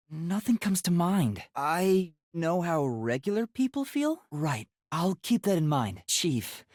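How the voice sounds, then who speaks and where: Husky, earnest young male detective. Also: medium pitch, very animated, slow pace, hardly any pauses, a little mellow, dry recording, clean studio sound, heavily acted. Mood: pensive, self-doubting. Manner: hesitant, flustered, earnest, stammering at times. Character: earnest, self-doubting young amnesiac male trainee detective. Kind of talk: English video game voice acting.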